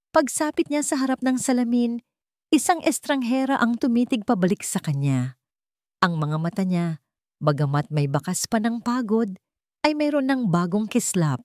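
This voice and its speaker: A woman narrating a scripted drama story in an even, deliberate storytelling manner. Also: mid-range voice, dry recording, studio quality.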